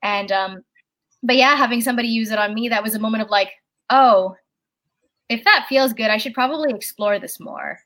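A speaker with a very high voice, heard by a tagger as a woman, sits at home for a casual chat. The tone is bright and a bit above the usual pitch. They ramble and stop often, filling gaps with restarts and fillers. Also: fairly quick. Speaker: guest. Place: at home with a candle lit.

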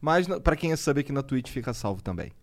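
A man's voice: mid-range voice, lively intonation, fast talker. A man from Brazil with a mid-range voice co-hosts a podcast. He talks in a laid-back way and adds joking side comments to the conversation. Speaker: podcast co-host. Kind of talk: casual podcast chat.

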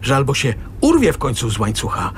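A man's voice: mid-range voice, huge pitch swings, fairly quick, a little hiss or hum. An actor playing a sarcastic, exasperated middle-aged father arguing with his wife in a radio drama. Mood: dry, reproachful. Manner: sarcastic, argumentative.

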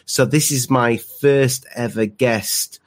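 A man's voice: mid-range voice, fairly even intonation, takes it slowly. A man of about forty hosting a live podcast, chatting bluntly with jokes and swearing. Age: around 40. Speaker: podcast host. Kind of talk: casual live-stream chat.

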